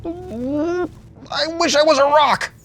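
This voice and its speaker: Man voicing an eager, high-pitched young donkey. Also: drawn-out words, a bit bright, exaggerated voice. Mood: panicked. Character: young boy donkey, eager, wishful and innocent. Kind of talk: storybook character voice.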